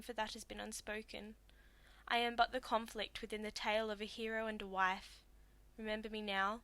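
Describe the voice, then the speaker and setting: Woman acting as young tragic Greek princess, theatrical monologue, fairly high pitch, bright forceful tone, wronged, anguished, declamatory. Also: dry recording. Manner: impassioned, declamatory, swinging from hushed to outcries.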